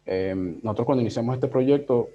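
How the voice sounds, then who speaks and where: A man in his thirties with a Venezuelan accent, talking casually and reflectively as an interviewed editor, in a halting, digressive way. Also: mid-range voice. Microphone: video call. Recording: dull, lo-fi video call audio.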